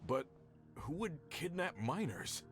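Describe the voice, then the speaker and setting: Earnest, animated search leader. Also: male voice, on the high side, slow, breathy edge, faint music behind, exaggerated voice. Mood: puzzled. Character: earnest, dutiful search party leader, practical and concerned. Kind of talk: video game voice acting.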